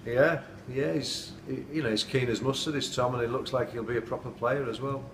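A man's voice: mid-range voice, steady tone, a little fast, distant mic. A middle-aged man, a football manager giving a plain-spoken, earnest post-match interview indoors at night. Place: indoors at night, dim room. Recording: echoey room, off-mic, noisy.

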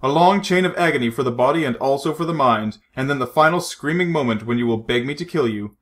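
A man reading a storybook, voicing a suave, menacing male villain who mocks his captive with unhurried threats. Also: mid-range voice, expressive, a bit bright. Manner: silky, mocking, unhurried threats.

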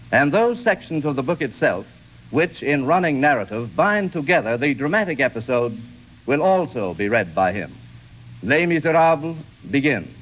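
A man announcing a programme formally, as a 1930s radio announcer. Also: on the high side. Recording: tinny, band-limited 1930s radio recording.

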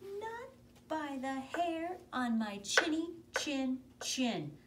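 A woman playing a small storybook pig with a high, chirpy voice, cheerful and stubborn. The voice bounces around lively, heard from a distance over steady background noise. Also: very slow, heavily acted. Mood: defiant. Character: small proud squeaky little pig. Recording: distant mic with room noise.

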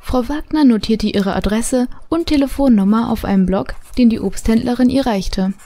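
A calm storyteller voiced by a woman narrating an audio drama. Her voice is soft and mellow in tone and pitched fairly high. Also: moderate pace, close to an everyday voice.

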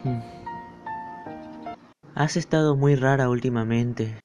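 A man's voice: mid-range voice, takes it slowly, a little mellow, close to an everyday voice. A man playing an earnest teenage schoolboy in a fan-dubbed anime. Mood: soft, reflective. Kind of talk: anime fandub acting. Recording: dull lo-fi audio with music behind. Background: music.

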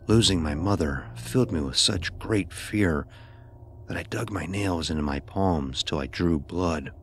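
A man narrating a scripted first-person horror story in a grave, deliberate storytelling manner. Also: a bass voice.

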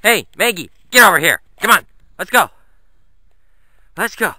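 Man calling his dogs in a bright voice. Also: high voice, expressive, a little slow, no room sound. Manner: sing-song coaxing, calling out to pets. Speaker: vlogger walking his dogs. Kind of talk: casual talk to his dogs while filming. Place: snowy woods outdoors.

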